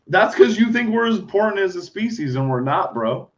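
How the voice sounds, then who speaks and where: Man, high-pitched, profane podcast co-host who argues bluntly; lo-fi audio. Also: very animated, brisk. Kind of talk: crude casual chat. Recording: slightly dull lo-fi sound.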